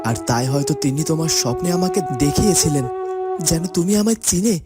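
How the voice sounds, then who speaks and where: A man voicing a calm, noble young prince in a fairy-tale cartoon, explaining things tenderly and in measured phrases. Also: a little high, natural voice. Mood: tender, reassuring. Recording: loud music under the voice.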